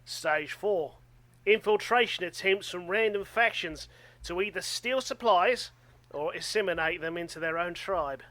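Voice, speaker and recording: Amateur radio drama: actor as stern, paranoid male enforcer, high-pitched and tense, threatening delivery. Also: measured pace, bright, forceful tone. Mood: ominous. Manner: stern, officious. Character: paranoid, menacing male guard of a train carriage.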